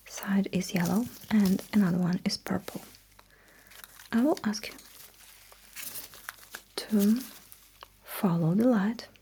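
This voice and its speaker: A woman whispering in an ASMR roleplay as a technician, giving gentle, slow instructions in short phrases with a breathy, mostly unvoiced voice. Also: medium pitch, a little hiss or hum, low-quality recording. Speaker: ASMR performer role-playing a sci-fi technician running calibration tests.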